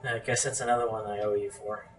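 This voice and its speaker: A man's voice: fairly low pitch, flat delivery, fast, far from the mic, natural voice. A man in a radio play voicing an earnest, exasperated pony radio host playing amateur sleuth. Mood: earnest, puzzled.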